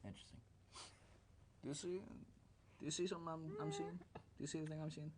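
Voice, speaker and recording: A woman's voice: deep voice, little pitch movement, quick pace, darkish tone, slight background noise. A woman playing a tabletop game, improvising in character and chatting casually at the table. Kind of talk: casual improvised role-play and table chat.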